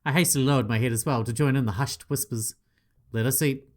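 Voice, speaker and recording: A man's voice: medium pitch, fast, a little mellow. A man playing through a visual novel, reading every part aloud with playful asides and the odd swear. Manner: playful, swearing asides, voices each character. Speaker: gaming channel host.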